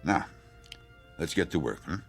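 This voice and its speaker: An actor in a radio drama playing a gruff, scheming man who mutters in a gravelly, husky voice. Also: rumbling low, expressive, moderate pace, soft background music, full character voice. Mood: muttering, intent.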